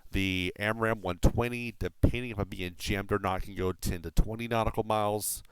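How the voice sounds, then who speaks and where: A man's voice: lowish voice, steady tone, normal speed, clean tone, no room sound. A man streaming a flight combat game, explaining it casually on a desk mic, rambling with lots of fillers and the odd swear word. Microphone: desk microphone through a mixer.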